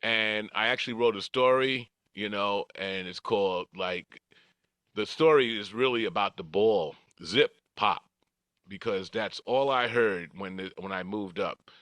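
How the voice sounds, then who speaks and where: A man's voice: on the low side, measured pace, a bit bright, no echo. An older man telling stories from his life as a podcast guest, casual, chuckling through anecdotes and acting out dialogue. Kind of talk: casual reminiscing storytelling.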